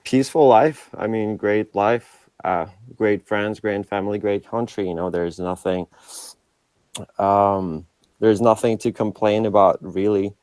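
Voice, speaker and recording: Deep-voiced man from Ukraine. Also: fairly even intonation, unhurried, darkish tone, no room sound. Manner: hesitant, many fillers and restarts. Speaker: interview guest. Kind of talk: casual interview answers.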